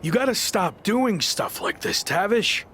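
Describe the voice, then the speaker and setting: Expressive, reluctant young wrestler. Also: male voice, sits high, measured pace, a little hiss or hum, full character voice. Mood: annoyed. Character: reluctant, easily annoyed young male pro wrestler. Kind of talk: video game cutscene dialogue.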